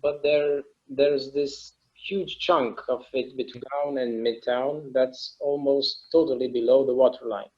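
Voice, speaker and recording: A man's voice: mid-range voice, little pitch movement, unhurried, few pauses, a little mellow, lightly acted. A man acts out an easygoing, inventive husband in a stage play read. His mid-range voice is conversational and musing. The recording is a little dull and lo-fi. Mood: musing, eager. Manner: casual, musing, agreeable. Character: easygoing, imaginative husband who enjoys inventing games.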